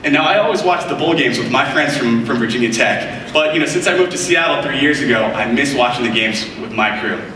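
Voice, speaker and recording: A man's voice: medium pitch, animated, brisk, few pauses, bright, forceful tone, slight background noise. Young male presenter, upbeat scripted demo, heard from far off in a big echoing hall. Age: young adult. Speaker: product demonstrator. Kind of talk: scripted live product demo. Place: large keynote stage in front of a screen. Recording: echoey hall audio picked up from afar.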